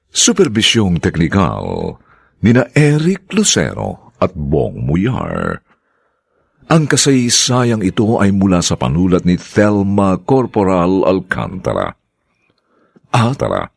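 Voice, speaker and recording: A man's voice: sits low, gravelly, breathy edge, no echo. A man, the show's radio announcer, formally reading the closing credits of a drama broadcast. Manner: measured, formal.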